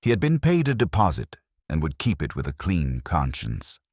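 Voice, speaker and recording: Very deep-voiced man narrating detective fiction. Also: wildly swooping pitch, normal speed, darkish tone, husky, no echo, studio quality. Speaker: audiobook narrator. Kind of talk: scripted first-person detective story narration.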